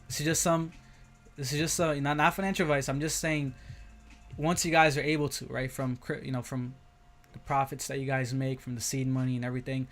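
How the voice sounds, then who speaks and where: A man's voice: mid-range voice, flat delivery, a little fast, fairly bright tone, faint music behind. A man with an American accent talking casually and informally as a livestream host, repeating himself and often checking in with listeners. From Brazil, moved to the United States as a young child. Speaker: livestream host, crypto trader.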